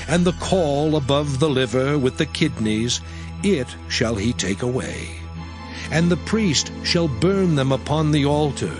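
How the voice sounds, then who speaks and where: A man giving a solemn, measured reading of old scripture text. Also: medium pitch. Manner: solemn, measured, archaic wording. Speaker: scripture reader. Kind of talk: dramatized Bible reading. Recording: music playing behind.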